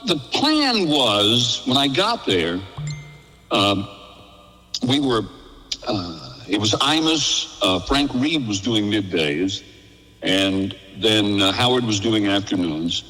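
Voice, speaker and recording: A man's voice: fairly low pitch, sing-song, slow pace, pushed and bright, faint music behind. An older American man being interviewed, telling casual anecdotes in a chatty way and acting out the dialogue as he goes. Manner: chatty, acts out quoted dialogue in stories. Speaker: radio veteran being interviewed. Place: remote call into a live radio broadcast. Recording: long hollow reverb.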